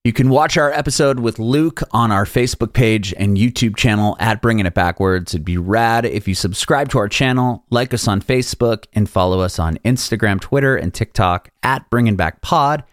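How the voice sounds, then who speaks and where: A young male podcast host with a fairly low voice and an easygoing, slangy way of talking. The sound is dry and close, with almost no room reflection. He moves between a read-out intro and relaxed conversation. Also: normal speed, few pauses, no room sound. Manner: laid-back, uses casual slang. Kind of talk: read intro, then casual interview chat.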